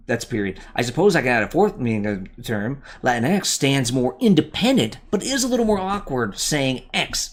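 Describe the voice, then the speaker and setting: Rambling man thinking aloud. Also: mid-range voice. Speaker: channel host. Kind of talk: casual live commentary.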